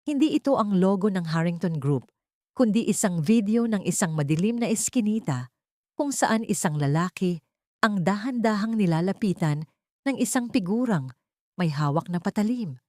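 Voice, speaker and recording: A woman narrating a scripted audio story in a deliberate, suspenseful, theatrical way. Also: medium pitch, animated, a little mellow, no echo, studio quality.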